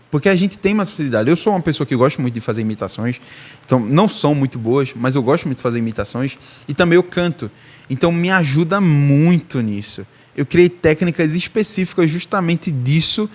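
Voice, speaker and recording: A young man teaching languages in a casual, chatty way, often asking "tá?" and shifting his tone for emphasis. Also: on the high side. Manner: chatty, repeats himself, ends phrases with "tá?"; shifts his tone to show emotion. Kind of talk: casual video lesson.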